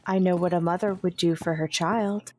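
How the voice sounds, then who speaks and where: A woman voicing a measured, grave female Jedi leader in an audio drama. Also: on the low side, steady tone, a little mellow, slight background noise, close to an everyday voice.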